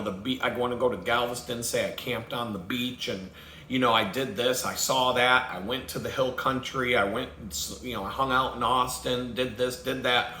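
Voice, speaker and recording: A man's voice: mid-range voice, pushed and bright. Man ranting bluntly in a roomy space. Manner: blunt, swears heavily, laughs at his own jokes. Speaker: vlogger host. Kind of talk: profane rambling rant. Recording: roomy with faint background noise.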